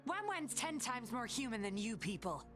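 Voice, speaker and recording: A woman's voice: a little high, sing-song, moderate pace, a bit bright. A woman voicing a composed, coaxing guardian with a cool edge in a video game, self-assured in manner. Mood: indignant. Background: music.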